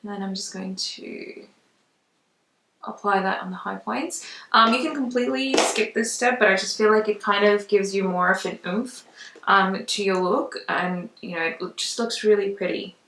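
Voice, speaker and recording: A woman's voice: mid-range voice, normal speed, a bit bright. Woman chatting through makeup, roomy echo. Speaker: makeup vlogger. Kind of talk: casual makeup tutorial chat. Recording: roomy echo, distant mic.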